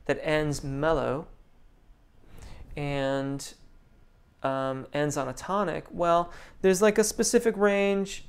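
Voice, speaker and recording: Gravelly-voiced male vocal coach, thoughtful. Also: a little high. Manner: thoughtful, restarts sentences, many ums; reads viewer comments aloud. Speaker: vocal coach answering live chat questions. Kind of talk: live Q&A stream.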